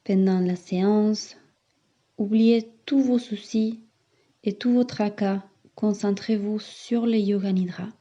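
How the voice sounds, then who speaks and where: A woman leads a guided relaxation as a yoga teacher. Her delivery is slow and nearly flat in melody, with soothing, measured instructions and long pauses. The recording sounds a little dull and muffled. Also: mid-range voice. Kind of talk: guided meditation.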